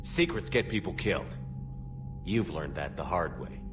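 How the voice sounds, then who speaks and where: A man's voice: low-pitched, animated, moderate pace. A man playing a resolute, authoritative space military commander in a video game cutscene. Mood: stern. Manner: firm, authoritative. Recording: music behind the voice.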